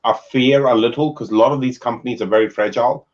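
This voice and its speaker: Male host, easygoing chatter, mid-pitched, slightly muffled lo-fi call audio. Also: fast. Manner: relaxed, chatty, uses fillers. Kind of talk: casual chat over whisky.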